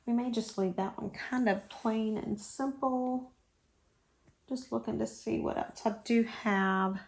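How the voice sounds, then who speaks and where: A woman's voice: fairly high pitch, unhurried, vocal fry, background noise. A middle-aged woman from Florida with a South Georgia drawl, chatting and rambling at her craft table. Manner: rambling, self-deprecating, talks while crafting. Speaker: crafting channel host. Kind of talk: casual crafting chat.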